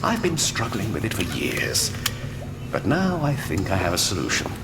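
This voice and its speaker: A sinister mad scientist in an audio drama. His lowish voice swoops wildly through courteous, condescending phrases with theatrical relish, grand and genteel, over noisy ambience. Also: huge pitch swings, normal speed, in a large hall. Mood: grandiose, lecturing. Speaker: villainous doctor. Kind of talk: full-cast audio drama acting.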